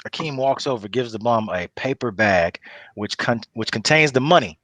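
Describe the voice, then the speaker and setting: A man's voice: medium pitch, animated, moderate pace, a bit bright, no echo. A man narrating the stage directions of a comedy table read, reading scripted lines with casual asides.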